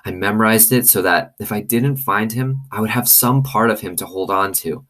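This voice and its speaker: Low-voiced man reading a story aloud. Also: moderate pace, a bit bright. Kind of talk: expressive storybook reading with commentary.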